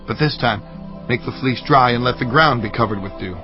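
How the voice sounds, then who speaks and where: Man acting humble petitioner, earnest, hesitant, mid-range male voice, audio Bible drama, soft music behind. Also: medium pitch, fairly quick, natural voice. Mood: humble pleading. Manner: earnest, pleading. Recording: muffled audio under music.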